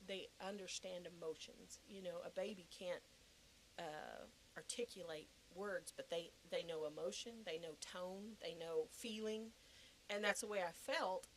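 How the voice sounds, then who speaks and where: A woman's voice: lowish voice, steady tone, measured pace, a little hiss or hum, poor audio. A middle-aged woman giving a personal testimony as a podcast guest, talking conversationally and earnestly and repeating phrases for emphasis.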